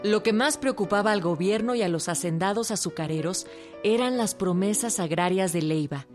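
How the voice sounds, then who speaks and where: A woman's voice: medium pitch, moderate pace, a little mellow, soft background music. A woman with a Mexican accent reading scripted historical narration for a radio drama.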